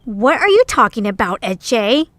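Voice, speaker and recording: A woman playing a grumpy, sharp-tongued female adventurer with dry sarcasm in an audio drama. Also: a little high, very animated, a little slow, dry recording. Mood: skeptical.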